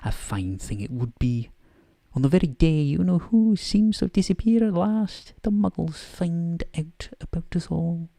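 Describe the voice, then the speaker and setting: A man acts out an irritable older Scottish woman professor for a storybook reading. The voice is lifted high and creaky, with clipped, indignant delivery and a close, dry sound. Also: expressive, measured pace, mellow, no echo. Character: stern, irritable older female professor, exasperated and proper. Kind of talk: softly voiced bedtime story character acting.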